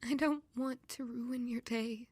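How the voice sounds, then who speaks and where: A grieving young woman in an audio drama. Her voice sits high and sounds fragile, full of doubt and self-blame. The sound is dry, without any room around it. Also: fairly even intonation, a little slow, darkish tone, husky, dry recording. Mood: hesitant, questioning. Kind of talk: emotional audio drama acting.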